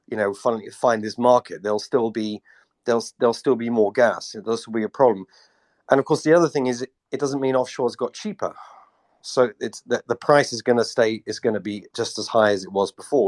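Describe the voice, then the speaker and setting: A man with a cold and a gravelly voice, explaining energy markets in a casual online discussion and often repeating words as he goes. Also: on the low side. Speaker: energy analyst guest. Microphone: remote audio call.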